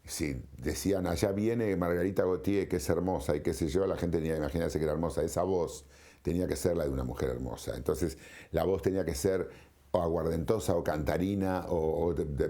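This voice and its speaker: A man's voice: sits low, a little fast, mellow. A man explaining in an interview, colloquial and emphatic, repeating phrases for weight.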